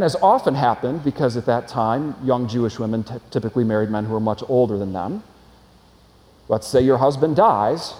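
A man's voice: mid-range voice, a little mellow. A man preaching a sermon as pastor, earnest and explanatory, asking his congregation rhetorical questions. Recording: long hall reverb.

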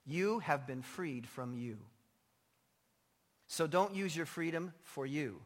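A man's voice: a little high, animated, slow pace. A man preaching a sermon as a pastor, emphatic yet informal and conversational, his voice slightly strained. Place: large indoor church hall. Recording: echoey large hall, long reverb.

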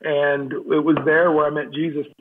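A man from Southern California, a team chaplain appearing as a guest, telling his story in a relaxed, friendly way. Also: a little high, normal speed, slight background noise. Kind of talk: casual interview storytelling. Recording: remote call with tinny phone-like sound.

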